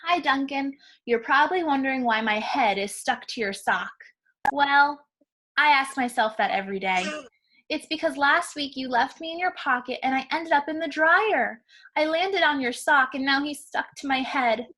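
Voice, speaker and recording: A grumbling little crayon in a picture book, as a woman reads it, airs its complaints. It sounds high and bright, with a wry, put-upon manner. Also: moderate pace. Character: grumbling turquoise crayon stuck to a smelly sock.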